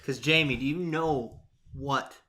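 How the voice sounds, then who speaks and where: A man's voice: mid-range voice, a little slow, bright, forceful tone. A man co-hosting a podcast in a casual, agreeable conversational style. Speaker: podcast co-host. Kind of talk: casual chat.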